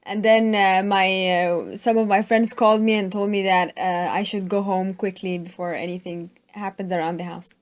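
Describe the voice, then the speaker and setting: A woman's voice: medium pitch, steady tone, moderate pace, few pauses. A young woman student from Egypt answering interview questions and recounting events earnestly. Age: young adult.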